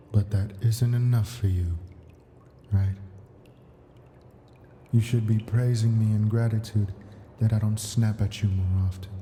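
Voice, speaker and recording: Man voicing a slow, very dark-voiced ancient dragon. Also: fairly low pitch, slight background noise. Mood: stern, menacing. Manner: slow, deliberate, murmuring, drifting into whispers. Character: ancient male dragon, possessive, imperious yet doting captor. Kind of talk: ASMR roleplay, slow intimate character acting.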